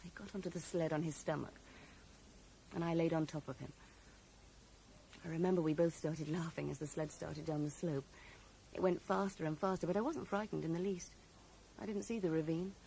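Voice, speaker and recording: A woman's voice: deep voice, fairly quick, vocal fry, long pauses, mellow. An actress playing a grieving, guarded and bitter woman in a radio drama, wistful yet curt with her questioner. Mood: wistful recollection. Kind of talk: 1980s radio drama acting. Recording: noisy 1980s radio broadcast recording.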